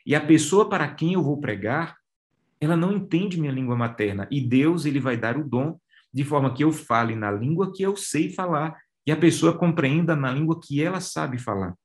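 A man's voice: a little high, animated, normal speed. A man preaching a sermon to listeners, pressing his points with rising emphasis. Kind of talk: radio sermon.

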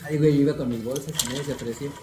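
A man's voice: mid-range voice, fairly even intonation, racing, dark tone, reverberant. A young man with a Mexican Spanish accent, co-hosting a podcast and telling a story in slangy, casual banter with friends. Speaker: podcast co-host.

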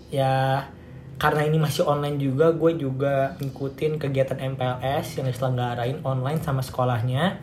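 Hoarse teenage boy rambling casually. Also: a little high, a little mellow. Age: teens. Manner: rambling, many fillers and restarts, laughs often. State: slightly hoarse. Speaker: podcast host. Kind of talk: casual solo podcast chat. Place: home room with music playing. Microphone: home recording setup. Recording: echoey home room, faint noise.

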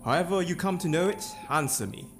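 A man's voice: high voice, expressive, brisk. A man acting a bold, demanding usurper lord, declaiming imperiously in a dramatic Shakespeare reading. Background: ominous music.

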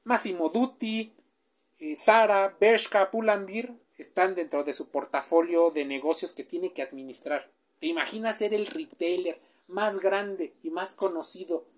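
A woman speaks in a slightly low voice. She explains things in a chatty, direct way, keeps asking the listener questions and fills gaps with short hesitations. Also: unhurried. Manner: chatty, asks the listener questions, with filler sounds. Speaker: presenter.

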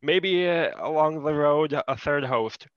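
Brief: male podcast producer with a lisp, smooth clear tone, dry muffled recording. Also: on the high side, unhurried, dry recording. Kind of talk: casual chat. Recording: dry, dull lo-fi sound.

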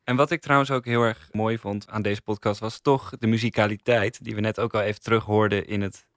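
A man's voice: lowish voice, steady tone, a little fast, dry recording, clean studio sound. A man sharing thoughtful, slightly tentative opinions in a casual podcast conversation. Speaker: podcast co-host and reviewer. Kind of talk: casual review discussion.

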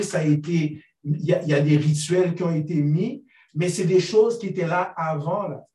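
A man's voice: on the high side, darkish tone. A man, speaking thoughtfully in a group discussion and searching for his words. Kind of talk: earnest discussion. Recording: roomy, distant from the mic.

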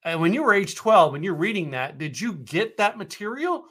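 A man's voice: sits high, sing-song, moderate pace, fairly bright tone. An American man hosting a casual conversation, who rambles with many fillers and restarts. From United States.

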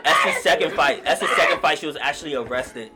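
A man in his twenties from Nigeria, a guest rapper telling stories in relaxed, slangy casual talk. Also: a little high, fast, heavy background noise. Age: 20s. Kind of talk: casual podcast interview.

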